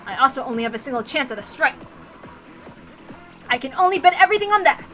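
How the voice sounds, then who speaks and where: A woman's voice: high voice, animated, quick pace, bright, forceful tone, soft background music. A woman voicing a brave, desperate man in a dramatic story reading, stammering and emphatic with melodramatic defiance. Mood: determined. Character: desperate, brave adult man Kraus, defiant gambler and ex-boxer.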